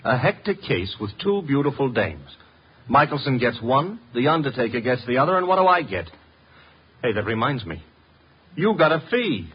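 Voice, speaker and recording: A wry, slangy legman to a detective. His husky, middle-pitched voice bounces around as he grumbles. It sounds like a dull 1950s radio recording. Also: big pitch swings, normal speed. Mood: glum, wry. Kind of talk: 1950s radio drama acting. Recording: muffled old radio recording.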